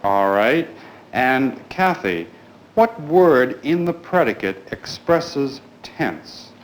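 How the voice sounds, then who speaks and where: Low-pitched male teacher in an echoey classroom. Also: very animated, slow pace. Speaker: schoolteacher leading a grammar class. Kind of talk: classroom instruction with questions to pupils. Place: indoors in a school classroom. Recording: echoey classroom recording with clear background noise.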